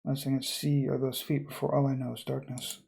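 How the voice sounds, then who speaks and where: Gravelly man hosting a game playthrough. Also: mid-range voice, completely flat delivery, fairly quick, darkish tone. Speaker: gaming video host. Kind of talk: reading visual novel narration aloud with casual commentary. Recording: game music behind the voice.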